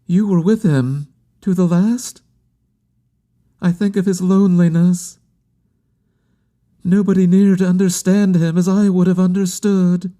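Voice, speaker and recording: Man voicing a grieving, high-pitched devoted woman. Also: fairly even intonation, a little slow, pauses often, dark tone. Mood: mournful. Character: grieving, devoted woman in mourning, pleading and intense. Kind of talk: dramatic literary audiobook character acting.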